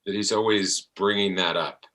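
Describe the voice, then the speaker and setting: A middle-aged man, an actor talking as an interview guest, reflective and halting as he searches for words. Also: lowish voice, fairly even intonation, bright, forceful tone, low-quality recording.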